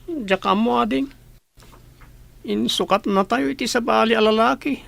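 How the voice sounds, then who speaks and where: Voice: mid-range voice, moderate pace, vocal fry, a bit bright, dry recording. A performer acting a bitter, resigned young elder sibling in a radio drama.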